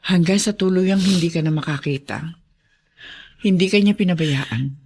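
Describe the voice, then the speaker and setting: An actress playing a frank, supportive friend who speaks directly and emphatically in a TV melodrama. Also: female voice, fairly low pitch, moderate pace, darkish tone. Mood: consoling. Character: frank, supportive woman friend consoling a mother. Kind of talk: TV melodrama acting.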